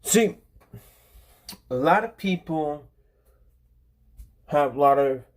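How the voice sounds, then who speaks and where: A man in his twenties with a slightly high-pitched voice hosts a casual livestream. He speaks in a halting way, often repeating himself and restarting phrases as he addresses his viewers. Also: animated. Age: 20s. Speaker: live streamer, channel host.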